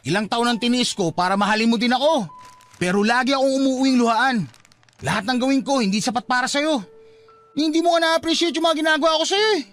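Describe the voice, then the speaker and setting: A man's voice: very high voice, fast talker, fairly bright tone, soft background music. An actor playing a hurt, fed-up young father in an emotional, reproachful Filipino radio drama style. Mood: agitated, bitter. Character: hurt, fed-up young father giving up on his unrequited love.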